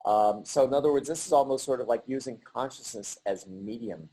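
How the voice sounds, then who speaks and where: A middle-aged man giving a halting virtual artist talk over a video call, with many pauses and restarts. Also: medium pitch, warm tone, rough recording. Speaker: artist presenting his work.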